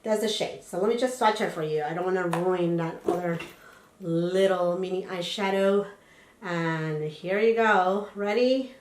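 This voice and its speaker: Young woman beauty vlogger, slightly raspy from a cold, chatty and enthusiastic, echoey home room. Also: medium pitch, a little mellow. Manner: chatty, enthusiastic, rambling with fillers. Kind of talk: casual unboxing chat.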